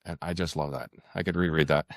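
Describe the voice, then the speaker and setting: A man's voice: very deep voice, fairly even intonation, fast, vocal fry, no room sound, clean studio sound. A man answering as an author guest in a casual interview, hesitant, hedging and repeating words as he thinks.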